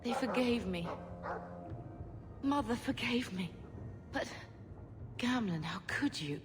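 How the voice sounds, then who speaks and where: A woman's voice: on the high side, expressive, takes it slowly, in a large hall, faint music behind. An actress playing a wounded, dignified middle-aged mother of noble birth, earnest and proper, in a video game drama. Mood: hurt, emotional.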